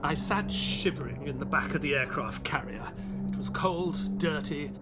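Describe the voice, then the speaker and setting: An actor playing an earnest, bewildered doctor, polite and old-fashioned, in an audio drama. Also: male voice, a little high, moderate pace, over loud music, natural voice. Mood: narrating, sober. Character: earnest, bewildered British doctor, gentleman narrator of adventures. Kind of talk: audio drama acting and scripted narration.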